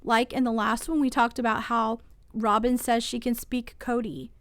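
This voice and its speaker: A woman's voice: on the high side, flat delivery, normal speed, no room sound, clean studio sound. A woman hosting a casual TV recap channel who is getting over a cold, talking in a chatty, opinionated way and asking viewers to weigh in.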